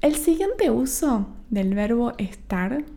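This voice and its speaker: A young woman with an Argentine accent teaches a Spanish lesson for a podcast. Her voice sits a little high but is soft and mellow in tone. She talks slowly and patiently, checking in after nearly every point. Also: sing-song, vocal fry. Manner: explains slowly, with frequent checking "¿sí?" and "¿ok?".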